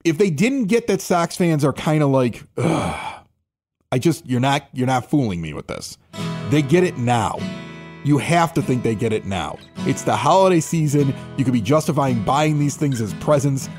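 A man's voice: medium pitch, animated, moderate pace, over music. A man hosting a sports podcast, talking in a casual, rambling, incredulous way. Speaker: podcast host. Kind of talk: casual chat. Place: home bar.

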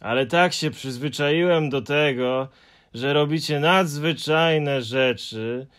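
A man's voice: fairly high pitch, fairly bright tone, pitched above the performer's own voice. A man voicing a gloomy, fussy male Hemulen who drags out his mournful complaints in an audiobook. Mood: glum. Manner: mournful, sighing, drawn-out complaints. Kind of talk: audiobook character voice.

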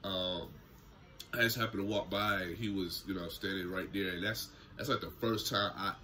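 Low, bright-voiced young man vlogging. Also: moderate pace, a little hiss or hum. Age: young adult. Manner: relaxed, slangy storytelling to camera. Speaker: YouTuber. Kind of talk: casual story time vlog. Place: small indoor room at home. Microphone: camera close in front, seated.

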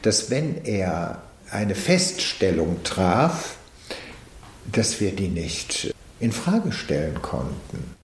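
Slow, creaky man in echoey room. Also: low-pitched, sing-song. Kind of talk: recollection in an interview clip.

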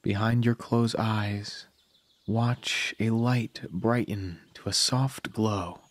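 A man narrating a scripted guided meditation in a calm, soothing manner with long pauses. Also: lowish voice, dry recording.

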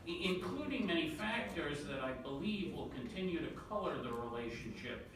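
A man from the New York City area giving panel remarks, talking quickly with lots of fillers and repeated words. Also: a little high, very animated, noisy background. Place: large conference room. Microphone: table mic, recorded from the far side of the room. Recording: echoey room audio from far off.

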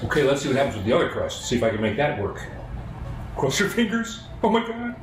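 A man's voice: medium pitch, very animated, fast, background noise. Chatty man cooking in an echoey kitchen. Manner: self-deprecating, chatty, mock-nervous asides. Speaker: home cooking show host. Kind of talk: casual cooking demonstration. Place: home kitchen, indoors. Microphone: far camera on the counter. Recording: roomy kitchen echo, distant mic, music behind.

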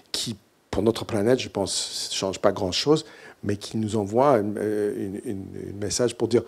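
A man's voice: fairly low pitch, a little mellow, husky. A middle-aged man from English-speaking Canada, speaking French with an English Canadian accent in a hesitant conference talk full of fillers, on a hall stage with a handheld mic. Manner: hesitant, many "euh" fillers and restarts. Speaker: keynote speaker.

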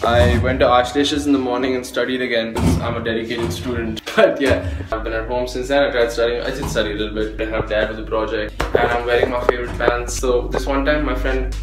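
A man's voice: medium pitch, fairly even intonation, brisk, few pauses. A man, chatting casually and self-deprecatingly as a student vlogger. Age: late teens. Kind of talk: casual vlog chat. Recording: echoey rooms, loud music behind. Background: loud music.

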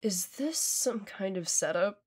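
A woman's voice: medium pitch, lively intonation, unhurried, husky. A woman playing an easygoing, modest, dry-humored teenage younger sister in an audio drama. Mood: wary, amused. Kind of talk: fan audio drama acting.

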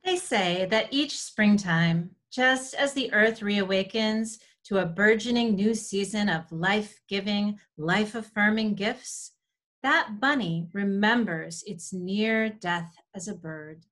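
A woman's voice: mid-range voice, slow pace, fairly bright tone. A woman in her forties giving scripted storytelling for children, recorded over a video call from a room at home. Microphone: video call computer mic.

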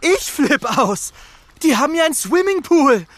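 An actor playing a cheeky, excitable little boy who loves wordy alliterations in a children's audio drama. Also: sits high, normal speed, a little hiss or hum. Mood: excited, coaxing.

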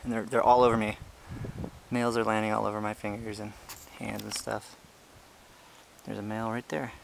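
A man's voice: fairly low pitch, moderate pace, vocal fry, a little hiss or hum, poor audio. Easygoing man vlogging on a trail. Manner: relaxed, chatty, explaining with many fillers. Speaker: ant-keeping channel host. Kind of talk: casual hiking vlog narration. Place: outdoors on a brushy hiking trail. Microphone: handheld camera he is filming with.

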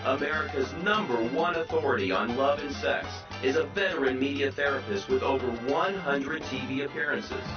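A man reading a scripted introduction as an announcer, formal and measured. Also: medium pitch. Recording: dull lo-fi sound with music.

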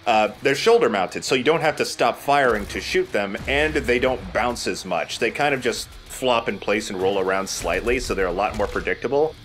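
A man's voice: on the low side, expressive, moderate pace, hardly any pauses, fairly bright tone, soft background music. A man giving casual commentary as he plays and hosts a video game let's play. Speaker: gaming let's play host, player.

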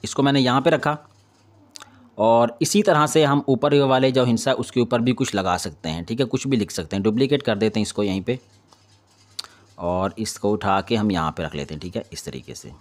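A man's voice: medium pitch, normal speed. Man giving an informal editing tutorial. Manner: repetitive confirming tags, instructional. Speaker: video editing tutorial host. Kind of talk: informal step-by-step screen tutorial.

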